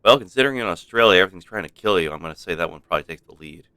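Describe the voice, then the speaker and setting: A man's voice: low voice, animated, rapid-fire, clear, resonant tone, no echo. A man streaming a game with casual, playful, rambling commentary for his chat. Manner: rambling, playful, mock-stern. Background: soft game music.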